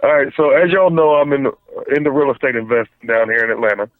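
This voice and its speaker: A man's voice: medium pitch, fast talker, dry recording. Man on a tinny phone line. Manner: rambling, stumbles and repeats words. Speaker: phone-in guest. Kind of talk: casual storytelling.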